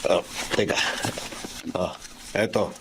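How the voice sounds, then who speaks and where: A man's voice: sits low, fairly even intonation, slow, noisy background. A man playing a generous, friendly, well-off employer in a Tagalog radio drama, speaking sympathetically.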